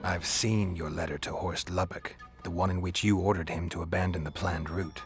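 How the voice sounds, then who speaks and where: A stoic fantasy game monster hunter, really deep voice, dry and to the point, quiet music behind. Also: male voice, fairly even intonation, normal speed, breathy edge, full character voice. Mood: pressing. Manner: terse, dry, understated. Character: stoic, world-weary male monster-hunter mercenary. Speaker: video game character. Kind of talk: dramatic fantasy game acting.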